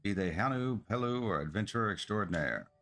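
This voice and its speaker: A man's voice: on the low side, animated, brisk, a bit bright. A man reading the lines of an eager, earnest, businesslike travel agency entrepreneur from a fantasy game. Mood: hopeful, eager. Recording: music behind the voice.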